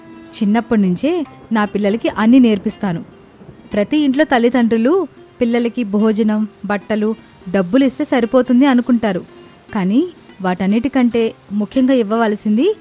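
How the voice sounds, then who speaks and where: A woman playing a brave, determined young mother in an animated moral story, speaking firmly and earnestly. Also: a little high, natural voice. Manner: firm, earnest, lecturing.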